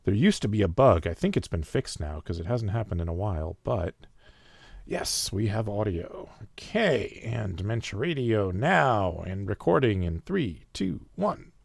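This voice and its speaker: A man's voice: lowish voice, big pitch swings, unhurried, gravelly, dry recording. A man hosting a podcast in casual chat, with a sore throat and a fading voice, trading dry upbeat banter. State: sore throat, losing his voice. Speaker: podcast host.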